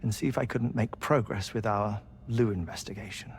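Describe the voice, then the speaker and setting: An actor playing a genial, scholarly investigator in a video game, speaking in a formal, courteous, wordy way. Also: male voice, lowish voice, moderate pace, close to an everyday voice. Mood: genial, relaxed. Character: genial, scholarly male investigator with old bones. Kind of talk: video game cutscene acting. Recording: game dialogue over clear music.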